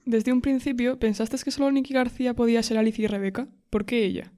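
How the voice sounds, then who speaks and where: A woman's voice: on the high side, fairly even intonation, quick pace, dark tone. A woman interviewer putting prepared questions to a guest.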